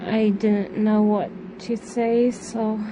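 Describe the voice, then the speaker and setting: A woman playing a subdued, gentle young daughter in an amateur audio drama, speaking haltingly and trailing off. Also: mid-range voice, level tone, noisy background, close to an everyday voice. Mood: hesitant, subdued.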